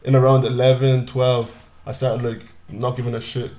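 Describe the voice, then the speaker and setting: A man in his thirties, born in Nigeria and raised in Ireland, chatting as a podcast guest in a small studio on a boom-arm desk mic, telling stories loosely with lots of "bro" and restarts. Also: level tone, a little mellow. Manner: fast, loose storytelling full of "bro" and restarts. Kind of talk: casual chat.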